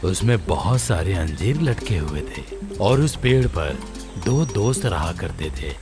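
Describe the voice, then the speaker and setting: A man with a very low voice narrates a children's story. He speaks slowly with expressive rises and falls, over background music. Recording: music behind the voice.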